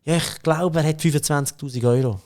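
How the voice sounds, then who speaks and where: Chatty Swiss German man, fairly high voice. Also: brisk, a little mellow. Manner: chatty, laughs often, many fillers and restarts. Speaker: podcast guest, company CEO. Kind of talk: casual conversational storytelling.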